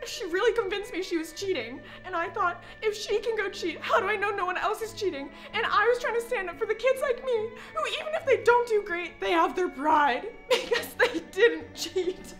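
Woman as a very high-voiced emotional schoolgirl. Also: fairly bright tone, soft background music. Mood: tearful, earnest. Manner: apologetic, halting. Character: gushing, emotional goody-two-shoes schoolgirl interviewee.

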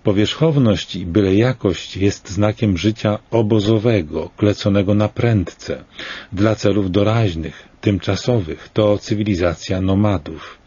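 A man in his sixties reading a scripted commentary in a slow, grave and deliberate way, with rhetorical questions. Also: fairly low pitch, warm tone, husky, no echo, dull audio.

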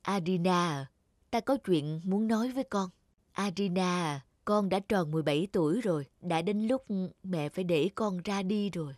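A woman's voice: on the low side, moderate pace, a little mellow, dry recording, studio quality, natural voice. Woman playing a solemn, mysterious foster mother. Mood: grave. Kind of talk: animated fairy tale acting.

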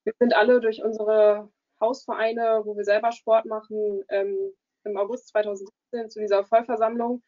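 A young woman answering questions on a video call in a steady way. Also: mid-range voice, normal speed, few pauses. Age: young adult. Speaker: youth sports board member. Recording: dull lo-fi video call audio.

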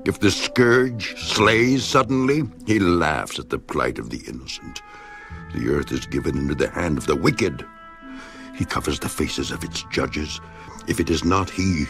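A tormented man pleading with God, a very deep, gravelly voice that rises into anguished cries and sinks back to near whispers. He speaks slowly, with dramatic swings of pitch, over clear music in a scripture audio drama. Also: exaggerated voice. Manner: anguished, swinging from hushed to crying out. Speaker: voice actor. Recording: with music underneath.

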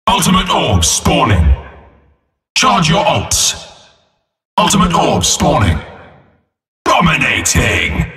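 A man's voice: mid-range voice, pushed and bright, echoey room, big-room sound, recorded from a distance, heavily acted. A man voicing a vengeful robot arena announcer in a video game, taunting and mock-polite with gleeful menace.

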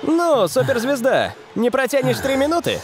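A man's voice: very high voice, wildly swooping pitch, normal speed, a little hiss or hum, full character voice. A man playing a cocky, clever young football player in a dubbed cartoon. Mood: taunting.

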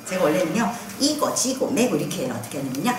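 A woman's voice: on the low side, slight background noise. A middle-aged woman, a singer invited as a guest, talking playfully and theatrically while tasting a meal. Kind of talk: casual food-tasting chat. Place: small restaurant dining room, indoors. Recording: echoey room from a distance, clattering dishes.

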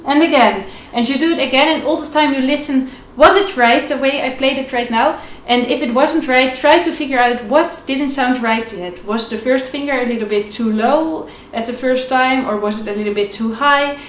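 A woman's voice: high voice, moderate pace, hardly any pauses, a little hiss or hum. A young woman giving an informal, step-by-step instructional lesson as a violin teacher, repeating key points in an encouraging way. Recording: echoey room. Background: violin playing between phrases.

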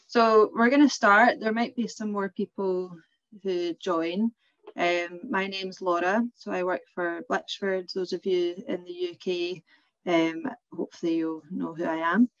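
A woman's voice: on the low side, fairly even intonation, a little slow, no room sound. Chatty Glasgow woman presenting a webinar. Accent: Glasgow Scottish. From Scotland. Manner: chatty, informal, many ums and restarts. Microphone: video call. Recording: dry, slightly dull webinar audio.

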